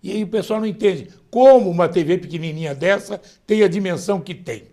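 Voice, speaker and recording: An older Brazilian man, a veteran journalist, talking with a lively, conversational manner in a TV interview. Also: sits high, fairly quick. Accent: Brazilian Portuguese.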